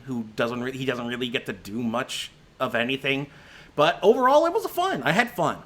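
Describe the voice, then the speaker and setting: A man's voice: mid-range voice, a bit bright. A man with a voice that is giving out, running through his favorite movies of the year in a casual, rambling stream, full of restarts and fillers. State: voice giving out, slightly hoarse. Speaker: movie critic.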